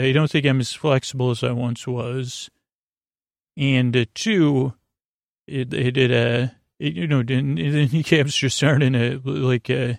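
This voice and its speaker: A man hosting a bedtime podcast in a meandering, halting monologue that keeps interrupting itself, with a gently absurd, whimsical turn. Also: mid-range voice, vocal fry, no echo, studio quality. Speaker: podcast host.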